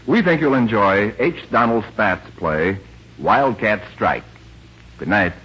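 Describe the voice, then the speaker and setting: A man's voice: on the low side, animated, slow pace. A man giving a scripted 1940s radio sign-off as the show's star. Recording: muffled, hissy 1940s radio recording.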